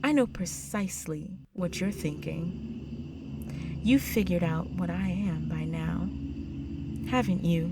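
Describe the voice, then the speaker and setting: A woman voicing a seductive, possessive vampire countess in an intimate roleplay audio drama, slow and coaxing, often slipping into breathy whispers. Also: on the low side. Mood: darkly seductive. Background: music.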